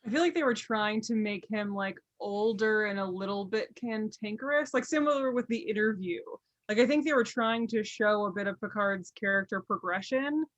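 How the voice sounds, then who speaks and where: A woman's voice: medium pitch, normal speed, dry recording. Slightly muffled woman on a podcast. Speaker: podcast panelist. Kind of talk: casual chat. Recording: slightly dull, lo-fi audio.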